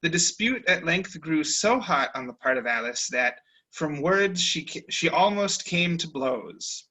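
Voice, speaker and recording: Wry, bright-voiced male narrator. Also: fairly high pitch, animated. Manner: wry, deliberate storybook narration. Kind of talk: dramatic reading narration over a video call. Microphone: video call.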